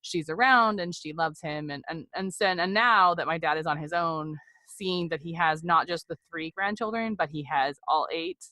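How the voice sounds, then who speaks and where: A woman's voice: deep voice, moderate pace, pushed and bright, dry recording, rough recording. A woman in her forties speaking candidly as a podcast guest, in a reflective, rambling, conversational way. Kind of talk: candid personal interview conversation.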